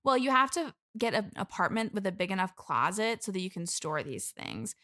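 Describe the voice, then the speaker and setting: A woman's voice: mid-range voice, big pitch swings, moderate pace, bright, forceful tone. A young woman playing an eager, earnest bridesmaid in an improvised comedy interview, chatty and rambling. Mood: eager, gushing. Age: young adult. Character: eager, earnest expert bridesmaid desperate for friends. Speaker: podcast guest.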